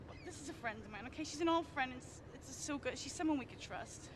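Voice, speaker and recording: A woman's voice: high-pitched, animated, fast, background noise. An actress playing an anxious, chatty mobster's girlfriend in a video game, rambling and melodramatic. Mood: agitated, pleading. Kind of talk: video game cutscene acting.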